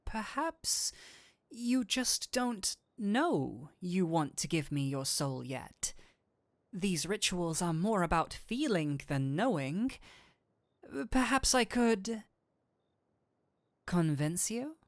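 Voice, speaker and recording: A man's voice: high-pitched, big pitch swings, slow pace, dry recording, full character voice. A man playing a flirtatious, nerdy incubus demon in an intimate audio roleplay, teasing and coaxing but kindly. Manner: coaxing, playful, theatrical switches between seductive and flustered.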